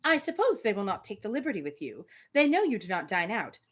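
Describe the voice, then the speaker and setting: A woman hosting a live reading of a novel, reading aloud and breaking off for casual asides in an animated way. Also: mid-range voice, fast talker. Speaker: host of live book readings.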